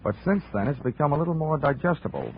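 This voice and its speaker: A man's voice: on the high side, very animated, fast talker, a little hiss or hum. A man acting a hard-boiled, wisecracking insurance investigator in a 1950s radio drama, narrating and talking in a clipped, sardonic way. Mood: wry, challenging. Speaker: lead actor and narrator. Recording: tinny old-time radio recording with music.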